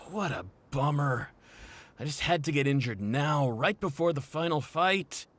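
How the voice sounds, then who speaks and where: An actor playing an injured young desert mercenary who gripes ruefully. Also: male voice, a little high, animated, unhurried, fairly bright tone, slight background noise. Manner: rueful, griping.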